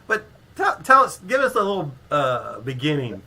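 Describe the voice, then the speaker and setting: A man's voice: a little high, huge pitch swings, normal speed, creaky, pushed and bright, slight background noise. A man co-hosting a livestream, chatting casually, rambling and joking as he introduces a guest. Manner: rambling, joking, self-correcting. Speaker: co-host, coach. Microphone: headphones.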